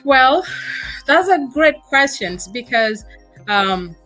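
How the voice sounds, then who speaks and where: A woman from South Sudan, a guest author, answering interview questions in a hesitant, halting way with many fillers. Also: high voice, big pitch swings, fairly bright tone, faint music behind, poor audio. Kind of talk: casual interview.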